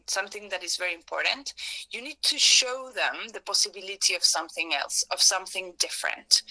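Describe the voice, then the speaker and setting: A woman with an Argentine Spanish accent leads a hands-on workshop in English, her second language. Her voice is very bright and sits at a middle pitch. She pauses to hunt for the right word and often circles back to rephrase. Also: rough recording. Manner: searching for words, restarts phrases, hedges with "sort of". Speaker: workshop facilitator. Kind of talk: live workshop talk to hackathon participants.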